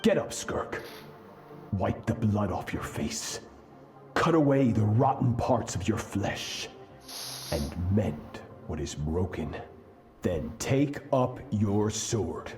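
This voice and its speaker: An actor playing a stern, merciless male master who speaks in slow, cold, measured commands. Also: fairly low pitch, very animated, darkish tone, faint music behind. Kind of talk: game voice acting.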